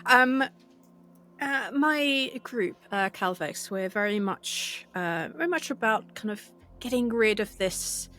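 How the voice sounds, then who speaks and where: A woman improvising an earnest, idealistic spokeswoman for an anti-capitalist group in a tabletop roleplay, speaking haltingly and deliberately. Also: mid-range voice, fairly bright tone.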